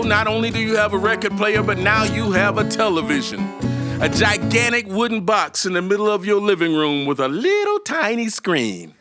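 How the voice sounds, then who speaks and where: A man performing a musical story live, animated and emphatic, with dramatic pauses. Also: high voice. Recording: guitar music behind the voice. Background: acoustic guitar playing.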